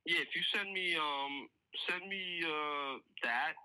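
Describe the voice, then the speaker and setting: A man's voice: on the high side, slow, sharp tone, a little hiss or hum. A man talking informally as a police detective on a recorded work phone call, explaining things in a hedging, conversational way.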